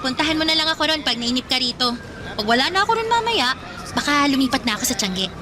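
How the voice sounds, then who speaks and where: A woman's voice: sits high, lively intonation, fast talker, crowd noise, noisy background. A teasing restless teen girl. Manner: teasing, playful. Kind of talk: radio drama acting. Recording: music bed behind. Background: music.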